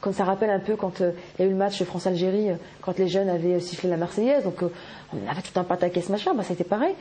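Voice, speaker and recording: A woman's voice: fairly low pitch, fast talker, warm tone. A woman talking casually in an interview, with loose fillers. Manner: casual, fillers like "bah" and "machin".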